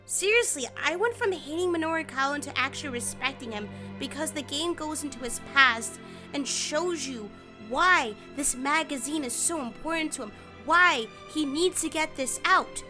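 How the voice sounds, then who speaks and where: A woman's voice: sits high, expressive, normal speed. A young American woman giving a scripted but casual game review in an emphatic, sarcastic, sweary way, as an online reviewer. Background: background music.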